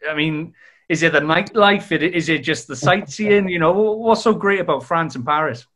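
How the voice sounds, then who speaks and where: A man's voice: fairly high pitch, normal speed, a bit bright. A young man hosting a podcast, asking long, chatty questions over a video call. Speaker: podcast host. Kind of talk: interview questions. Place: room at home, indoors.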